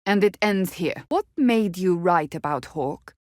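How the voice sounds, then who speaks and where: A woman playing a stern, devout warrior in a fantasy video game, forthright and formal in her measured, earnest speech. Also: fairly low pitch, lively intonation, darkish tone, dry recording, studio quality.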